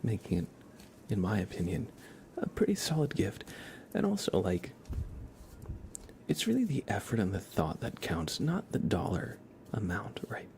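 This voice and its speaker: A man's voice: lowish voice, huge pitch swings, moderate pace, a little mellow. A man hosting an ASMR livestream, chatting casually and often in a whisper, with playful, rambling asides to viewers. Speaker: livestream host.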